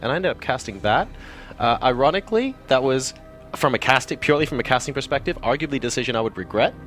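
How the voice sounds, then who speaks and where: A man from Hong Kong, an esports caster, talking quickly and enthusiastically in an interview at a busy event. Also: medium pitch, animated, a bit bright, faint music behind. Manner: quick, chatty and enthusiastic, with frequent fillers. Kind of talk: casual interview answers.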